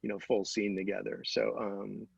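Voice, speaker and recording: A man chatting casually on a panel, halting and searching for his words as he recalls things. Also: fairly low pitch, little pitch movement, vocal fry, breathy edge, no room sound, dull audio. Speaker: TV writer and producer on a panel.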